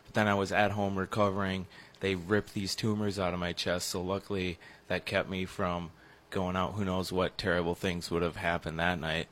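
Twenties guy w a crackly raspy voice, kinda low, goofing around on a sports show. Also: level tone, normal speed, few pauses, a bit bright. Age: 20s. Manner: joking, self-deprecating. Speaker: sports radio co-host. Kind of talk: casual banter.

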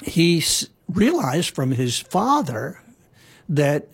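A man's voice: on the high side, sing-song, slow pace, creaky, slightly rough. A man telling historical stories in a casual conversation, rambling with frequent fillers and restarts. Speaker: guest storyteller. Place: lives in Hawaii.

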